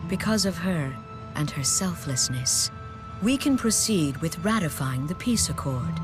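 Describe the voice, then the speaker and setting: An actress voicing a composed, shrewd female chancellor in a video game, formal and measured in her diplomacy. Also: low voice, animated. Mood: grateful, formal. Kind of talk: English video game voice acting. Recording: loud game music behind the voice.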